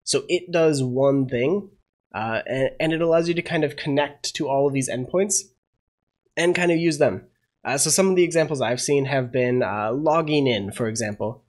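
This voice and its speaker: A man's voice: medium pitch, normal speed. A young man getting over a cold, walking through a coding tutorial as he thinks aloud, with lots of "uh" and unsure asides. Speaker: programming tutorial host. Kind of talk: casual screen-share coding tutorial.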